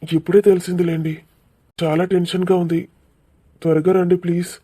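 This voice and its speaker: A man's voice: sits high, little pitch movement, a little fast, dark tone, husky. A man voicing an earnest, nervous young man in love in a cartoon love story.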